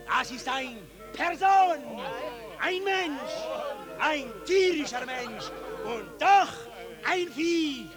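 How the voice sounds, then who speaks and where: A fairground barker from an old radio play. His voice is pitched very high and drags out each declaimed phrase in broken German. Music plays behind him. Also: animated, very slow, exaggerated voice. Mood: showy, haranguing. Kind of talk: theatrical radio drama acting.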